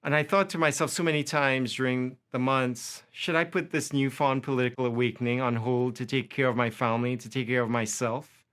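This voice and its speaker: A man's voice: medium pitch, steady tone, a little fast, fairly bright tone. A man with a Trinidadian accent giving a prepared lecture, impassioned and building through long lists. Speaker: university faculty lecturer.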